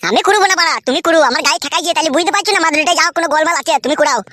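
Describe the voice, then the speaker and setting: A woman's voice: very high voice, racing, crowd noise. A woman voicing a hot-headed young village husband in a comedy cartoon, ranting and accusing with defiance. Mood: angry, accusing.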